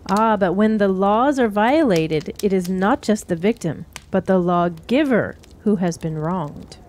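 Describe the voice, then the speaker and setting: A woman playing a cryptic, sorrowful wise woman who counsels youths in measured riddles in a fantasy radio drama. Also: mid-range voice, animated, a little mellow, slight background noise, natural voice. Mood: knowing, grave. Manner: measured, riddling, archaic storyteller phrasing.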